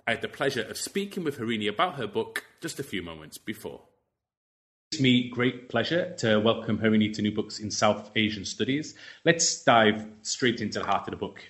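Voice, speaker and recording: A man's voice: lowish voice, a bit bright. A man hosting an academic podcast, reading introductions and putting questions to a guest. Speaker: podcast host. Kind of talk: scripted introduction and interview questions.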